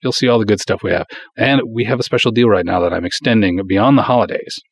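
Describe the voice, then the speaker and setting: A man's voice: fairly low pitch, quick pace. A man hosting a podcast, chatting to listeners and promoting projects in a direct, informal style. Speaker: podcast host.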